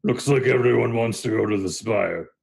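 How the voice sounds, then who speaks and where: Man putting on a slow, skeptical hulking Minotaur. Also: medium pitch, creaky, a little mellow. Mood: rallying. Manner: slow, drawn-out, sarcastic delivery. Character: big imposing male Minotaur blacksmith, skeptical, gruff.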